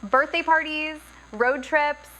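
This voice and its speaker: A woman voicing a quick-witted young woman radio cohost who makes sarcastic quips. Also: high-pitched, bright, forceful tone, slight background noise, full character voice, poor audio. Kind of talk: fan radio drama.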